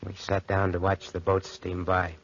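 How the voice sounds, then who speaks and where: A man's voice: low voice, monotone, moderate pace, slight background noise, lightly acted. An actor playing a cynical, callous young schemer in a 1940s radio drama, with slangy American tough-guy talk. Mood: brooding narration. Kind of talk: 1940s radio drama acting with first-person narration. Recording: muffled, band-limited 1940s radio recording.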